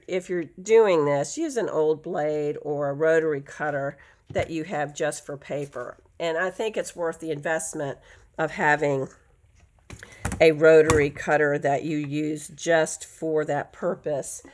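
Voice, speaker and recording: Woman, low pitch, nasal, chatty craft teacher, rambling asides, sewing table at home. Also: a little mellow. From United States. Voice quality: nasal from allergies. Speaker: quilting teacher. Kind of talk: casual craft tutorial.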